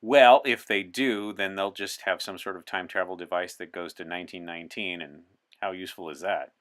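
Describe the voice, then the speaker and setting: A man's voice: low-pitched, fairly quick, a bit bright. A man as a podcast guest in a playful in-character interview, explaining things articulately and with playful earnestness.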